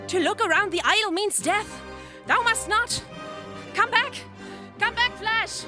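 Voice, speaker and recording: A woman's voice: very high voice, measured pace, over music. A woman playing a fierce, noble queen of a lion people, with grand, archaic declamation in a 1930s radio serial style. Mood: urgent, noble.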